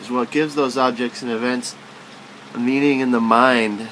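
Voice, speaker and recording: A man's voice: medium pitch, steady tone, normal speed, fairly bright tone, a little hiss or hum, low-quality recording. A man reading literary prose aloud as spoken word, in a musing, philosophical manner.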